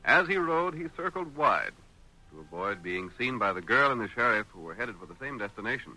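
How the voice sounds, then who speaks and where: A man's voice: medium pitch, sing-song, fairly quick, bright, forceful tone, rough recording. A man with an American accent narrating an old-time radio western in a dramatic, scripted storytelling manner.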